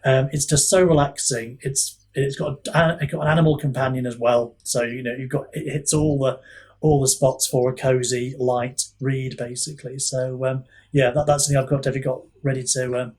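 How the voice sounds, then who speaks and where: A man's voice: mid-range voice, steady tone, brisk, darkish tone. A man chatting casually as an author and podcast guest, thinking aloud in a hesitant way. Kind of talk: casual chat.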